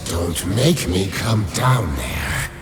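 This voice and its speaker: A stern undead lich, commands in a very low voice that swings widely in pitch. The delivery is terse and imperious, in the manner of a dark fantasy radio play, with music clearly present behind it. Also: male voice, sing-song, takes it slowly, full character voice. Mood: stern, commanding. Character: commanding undead lich speaking into a vampire's mind.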